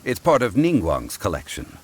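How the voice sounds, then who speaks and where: An actor playing a calm, learned gentleman consultant in a video game, speaking formally and deliberately. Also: on the low side, slightly rough, exaggerated voice. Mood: composed.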